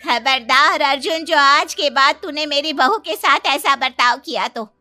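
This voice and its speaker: A woman's voice: sits high, a little slow, pushed and bright, pitched above the performer's own voice, exaggerated voice. A woman voicing an affectionate but bossy, protective middle-aged mother-in-law in a moral story. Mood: indignant, scolding. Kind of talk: moral story character dialogue. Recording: music behind the voice.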